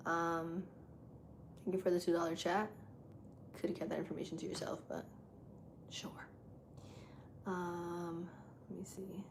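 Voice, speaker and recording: A woman live streamer with a deep voice, chatting casually with her viewers while she sets up a game. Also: flat delivery, measured pace, creaky, long pauses. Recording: echoey room with loud background noise.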